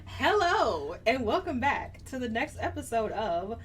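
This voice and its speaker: A woman's voice: on the high side, sing-song, unhurried, over loud music. A woman co-hosting a casual book podcast, laughing at her own slips and correcting herself as she goes. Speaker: podcast co-host. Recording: distant mic with faint noise.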